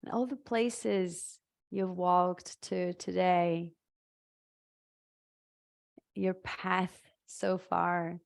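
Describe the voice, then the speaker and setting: A woman leading a live guided meditation in a soothing, halting way, leaving long pauses between short phrases. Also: on the low side, flat delivery, gravelly.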